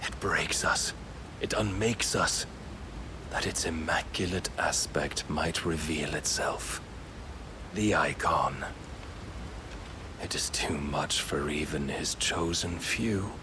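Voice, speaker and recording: An actor playing a grave, menacing godlike servant in a fantasy game, speaking in solemn, pompous, archaic phrases. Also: male voice, a bass voice, slow, long pauses, noisy background. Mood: solemn, preaching. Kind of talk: dramatic fantasy game cutscene acting.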